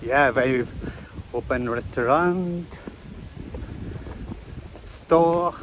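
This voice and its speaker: A man from Belgium with a Belgian accent, talking to camera in a casual travel vlog, eager and chatty in halting non-native English, outdoors on rural hills. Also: mid-range voice, a bit bright, slight background noise, rough recording. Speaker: travel vlogger.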